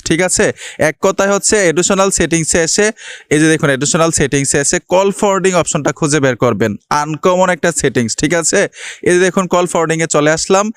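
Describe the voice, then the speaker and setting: High-pitched Bangladeshi man giving a tutorial. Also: clean tone, no echo, studio quality. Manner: instructional, repeatedly checks understanding. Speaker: tech tutorial host. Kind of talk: step-by-step phone tutorial, spoken to viewers.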